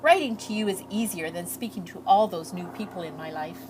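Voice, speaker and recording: A woman's voice: lowish voice, fairly quick, noisy background, natural voice. A woman voicing an earnest, shy, hopeful immigrant girl reading out her letters, with heartfelt, lilting storybook delivery.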